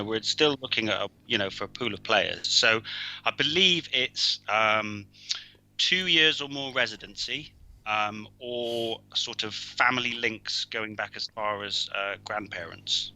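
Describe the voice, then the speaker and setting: Casual male guest from Wales, gravelly tone, halting delivery full of fillers. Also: medium pitch, pushed and bright, rough recording. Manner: hesitant, many fillers and restarts. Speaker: guest player. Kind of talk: casual chat.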